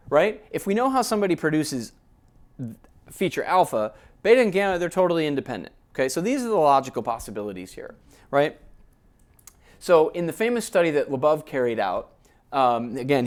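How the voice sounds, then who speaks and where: A man lecturing in a relaxed, conversational way, explaining ideas step by step and often checking in with his listeners. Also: medium pitch. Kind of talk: academic lecture.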